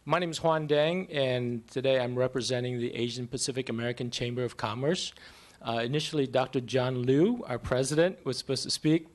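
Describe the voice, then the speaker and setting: A man representing a business group, giving formal remarks at a public meeting. He speaks politely and in a measured way. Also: medium pitch. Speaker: chamber of commerce representative.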